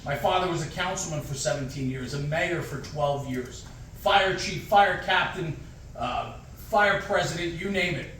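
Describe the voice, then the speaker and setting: A man's voice: fairly high pitch, a little slow, fairly bright tone, a little hiss or hum. Emphatic man speaking in an echoey hall. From United States. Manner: emphatic, repetitive, punchy short phrases. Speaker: guest speaker at a fire department event. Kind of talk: motivational speech. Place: large echoey hall with an audience. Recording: very echoey hall, distant mic.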